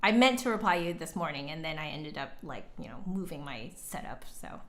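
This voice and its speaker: A woman's voice: sits low, lively intonation, brisk, fairly bright tone. A woman hosting a livestream, chatting casually with viewers in a giggly, rambling way full of asides. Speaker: livestream host, musician.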